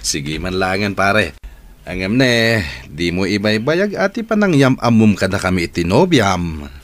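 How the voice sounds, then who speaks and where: Lively, low-voiced teasing pal. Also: male voice, a little slow. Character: adult male friend, teasing, complaining of aches. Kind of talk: radio drama acting.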